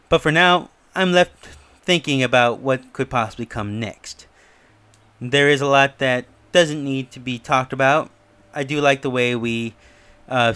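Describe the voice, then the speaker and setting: A man's voice: medium pitch, fairly bright tone, smooth. A man giving casual, speculative video commentary in a musing, slightly rambling way as host. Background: music under the voice.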